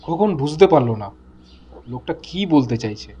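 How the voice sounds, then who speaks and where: Higher-pitched man narrating a horror story. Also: lively intonation, warm tone, a little hiss or hum. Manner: measured, pausing between short phrases.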